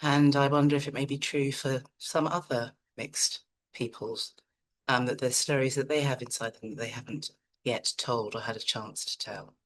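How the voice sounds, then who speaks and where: Calm, measured woman presenter from Nigeria. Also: rumbling low. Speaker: psychotherapist and workshop presenter. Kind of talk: online seminar presentation.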